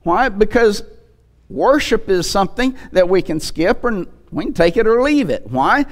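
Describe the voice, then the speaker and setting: A man's voice: high voice, very animated, normal speed. A man in his sixties preaching a sermon from a church pulpit into a headset mic, emphatic, with rhetorical questions and repetition. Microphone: headset microphone.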